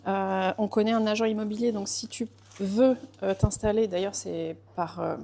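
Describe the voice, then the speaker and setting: A woman's voice: mid-range voice, fairly even intonation, moderate pace, creaky, dark tone, a little hiss or hum. A woman raised in France, chatting casually with live viewers while she bakes, greeting them by name and laughing often.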